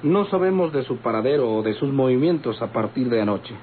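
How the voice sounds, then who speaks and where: Tinny radionovela, man as Mexican police chief, mid pitch, courteous, official, insistent. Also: expressive, a little fast, slight background noise, close to an everyday voice. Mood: businesslike. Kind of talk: old-time radionovela acting. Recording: tinny old radio recording with babble.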